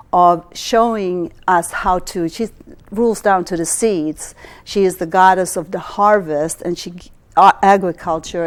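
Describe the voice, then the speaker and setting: A woman with a slight Swedish accent talks through astrological cycles in a meandering way, often stopping to restart a phrase. Her voice sits a little low for a woman. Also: darkish tone. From Sweden. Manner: rambling, halting delivery with frequent restarts and fillers. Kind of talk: astrology lecture.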